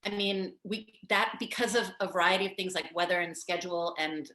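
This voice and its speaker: A middle-aged woman producer talks in a chatty, quick way, with laughing asides. Her voice sits a little low. It sounds roomy, picked up by a far camera in her living room. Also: sharp tone, slight background noise. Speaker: film producer, guest. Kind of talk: casual conversation. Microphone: video call, far camera.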